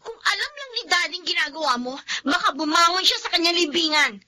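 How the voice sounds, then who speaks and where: A woman's voice: extremely high pitch, lively intonation, fast, bright, forceful tone, heavily acted. A woman playing an indignant young daughter confronting her mother in a radio drama. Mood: accusing. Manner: indignant, emotional, tearful.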